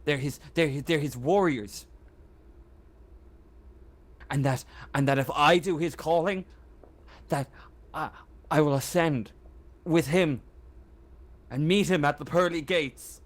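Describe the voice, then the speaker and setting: A man voicing a haunted, fanatical crewman in a tabletop game, halting and stammering as he repeats himself. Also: fairly high pitch. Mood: fervent, halting. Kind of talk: tabletop roleplay monologue.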